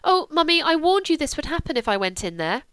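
Read by a woman, an impetuous young aristocrat who speaks up high and strong. Her lines come out petulant and headstrong. The sound is dry and close. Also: expressive, fast, a bit bright, smooth, no room sound. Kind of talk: audiobook character voice.

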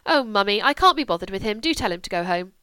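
A woman's voice: medium pitch, lively intonation, fast talker, sharp tone, smooth, no room sound, clean studio sound. A woman voicing a spirited, headstrong young aristocratic woman who talks in an impetuous, petulant way. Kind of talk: audiobook character voice.

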